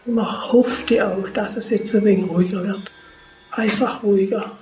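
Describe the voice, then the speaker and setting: A woman playing a solemn speaker in a radio documentary play, with deliberate delivery. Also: medium pitch, steady tone, recorded from a distance, background noise, lightly acted. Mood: weary. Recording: roomy echo, loud music.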